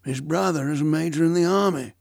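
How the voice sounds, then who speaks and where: A man voicing a careworn older doctor who explains things in a husky, matter-of-fact way. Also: fairly high pitch, brisk, no echo, studio quality, pitched above the performer's own voice. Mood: explaining.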